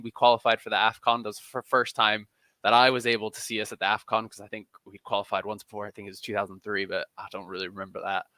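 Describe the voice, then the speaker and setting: A man's voice: on the low side, pushed and bright, no room sound. A man from Kenya answering questions as a podcast guest, chatty, informal and a little self-deprecating. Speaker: podcast guest, football journalist. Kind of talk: casual, conversational interview answers.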